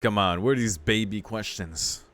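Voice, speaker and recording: A man's voice: on the low side, moderate pace, a bit bright. A man doing casual gameplay commentary as a video host, reacting with exclamations and mock exasperation.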